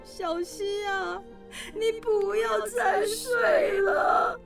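A woman's voice: extremely high pitch, steady tone, extremely slow, creaky, exaggerated voice. A woman playing a fretful middle-aged mother in a radio drama, over background music. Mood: tearful, pleading. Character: middle-aged mother, nagging and anxious over her daughter.

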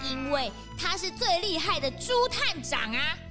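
A woman voicing an eager young detective sidekick in a children's audio drama, excited and full of questions. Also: sits high, a little slow, loud music behind the voice.

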